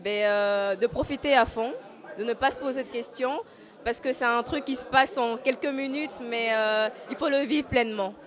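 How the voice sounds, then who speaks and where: A woman who was a pageant runner-up, answering calmly with short sentences and some fillers. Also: on the high side, normal speed, a faint crowd. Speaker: former pageant runner-up, guest. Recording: muffled lo-fi event audio.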